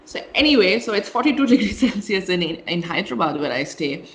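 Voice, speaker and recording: A woman from India, co-hosting a casual podcast and telling animated stories with laughs. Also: medium pitch, fast talker, muffled recording. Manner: animated storyteller, laughs mid-sentence. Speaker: podcast co-host. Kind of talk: casual chat.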